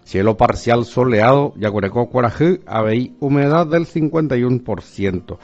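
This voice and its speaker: A man's voice: medium pitch, animated, normal speed, a little mellow, dull audio. A man with a Paraguayan accent presenting a radio weather report, mixing Guarani into his Spanish. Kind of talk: radio news chat.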